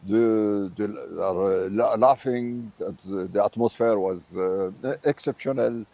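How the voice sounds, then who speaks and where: A man's voice: fairly low pitch, slow, dark tone. An older man from Morocco, a visiting tourism professor, answering interview questions in English with many fillers and restarts.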